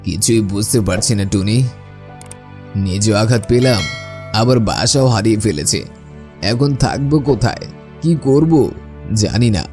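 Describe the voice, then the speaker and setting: A man's voice: on the low side, expressive, normal speed, long pauses, a little mellow, soft background music. A man voicing a needy, idle male crow in a cartoon, speaking in a plaintive, pleading way. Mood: miserable. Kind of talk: scripted cartoon dialogue.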